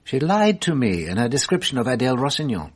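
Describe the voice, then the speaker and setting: An actor playing a shrewd, self-assured French police detective in a radio drama, explaining in a measured, theatrical way. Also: male voice, mid-range voice, big pitch swings, husky.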